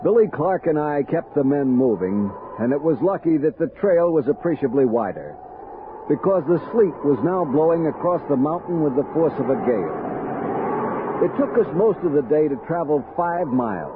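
A man acts an American expedition captain in an old radio drama. He is steady and decisive, with a fairly high, expressive voice. The recording is narrow and noisy. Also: moderate pace, natural voice. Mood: reflective narrating. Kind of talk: 1960s radio drama acting.

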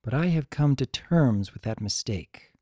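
Man acting elder pony princess, storybook reading, grave, regretful, formal, mellow, dry recording. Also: mid-range voice, lively intonation, unhurried, dry recording.

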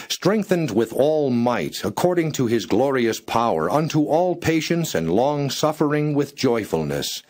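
A man giving a plain, measured reading of scripture, phrase by phrase. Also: mid-range voice, darkish tone, slightly rough. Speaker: scripture reader.

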